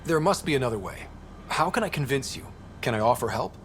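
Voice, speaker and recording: An actor playing an earnest, easygoing young human explorer in a video game, with a polite, wry, conversational manner. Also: male voice, mid-range voice, lively intonation, fairly quick, a bit bright, a little hiss or hum. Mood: earnest, urgent. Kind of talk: video game dialogue acting.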